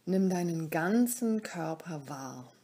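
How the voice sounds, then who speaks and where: A woman leading a guided meditation as a yoga nidra teacher, speaking calmly and soothingly in short phrases with pauses, her voice soft, breathy and often close to a whisper. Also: lowish voice.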